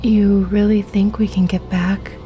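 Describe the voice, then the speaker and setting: Actress as weary female agent, dark soft timbre, breathy, earnest, wounded yet caring, sci-fi horror audio drama, faint music behind. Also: mid-range voice, flat delivery, a little slow, close to an everyday voice. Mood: urgent, wary. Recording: music under the voice.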